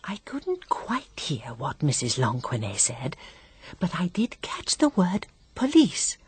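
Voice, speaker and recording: An actress playing a fussy, genteel lady companion in a radio drama, confiding in a hushed, gossipy way. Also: low-pitched, wildly swooping pitch, slow, background noise, heavily acted.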